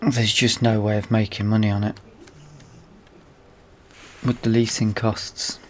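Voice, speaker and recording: A man's voice: on the low side, level tone, unhurried, a little hiss or hum. A man giving casual let's play commentary on a game, thinking aloud as he goes. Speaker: gaming video host.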